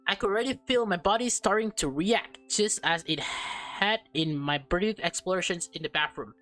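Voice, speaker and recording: A woman's voice: lowish voice, normal speed, a bit bright, faint music behind. A woman reading a visual novel aloud as a let's player, stumbling over and repeating words, with slangy, casual reactions.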